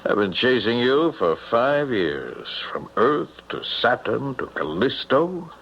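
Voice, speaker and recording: An actor playing a shrewd, wryly amused police captain in a radio drama, speaking deliberately with measured hesitations. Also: male voice, medium pitch, sing-song, gravelly, breathy edge, heavily acted. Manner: deliberate, wry, hesitant pauses. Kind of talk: old-time radio drama acting. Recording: muffled, noisy radio drama recording.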